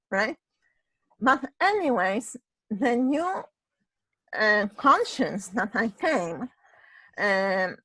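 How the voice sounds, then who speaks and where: A woman from Poland with a Polish accent and a husky voice, telling a personal story in casual chat, halting and restarting her phrases and often adding "right?". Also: high voice, a bit bright, no echo, poor audio. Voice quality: husky voice, ongoing voice problem.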